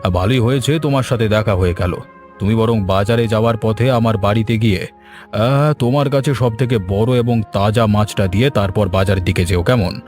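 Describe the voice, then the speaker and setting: A genial, bossy older gentleman of a cartoon village, acted by a man. He speaks in a lowered, measured, patronising voice, with faint music behind. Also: lightly acted. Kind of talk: animated moral story voice acting.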